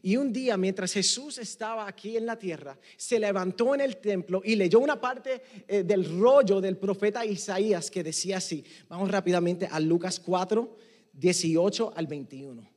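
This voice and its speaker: A Puerto Rican man preaches a sermon with a high voice. He moves between Spanish and English, pressing hard on some phrases and dropping to a hush on others. The sound carries a long hall echo. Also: moderate pace. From Puerto Rico. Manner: emphatic, rising and dropping to near hush. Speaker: pastor.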